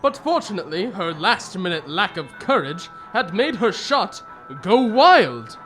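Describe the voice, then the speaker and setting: A man playing a genial, expressive old-school gentleman. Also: high-pitched, unhurried, soft background music, exaggerated voice. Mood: reminiscing. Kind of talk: radio drama acting and narration.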